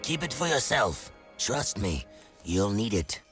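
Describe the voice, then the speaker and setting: A man voicing a stern desert ruler in a video game, speaking with measured, grave authority. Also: lowish voice, big pitch swings. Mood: commanding, grave. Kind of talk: animated video game voice acting. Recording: music behind the voice.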